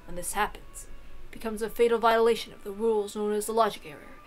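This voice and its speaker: A woman playing a deferential old male butler in a visual novel, speaking in a grave, formal, measured way. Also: mid-range voice, steady tone, soft background music, lightly acted. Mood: grave, explanatory. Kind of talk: visual novel character reading.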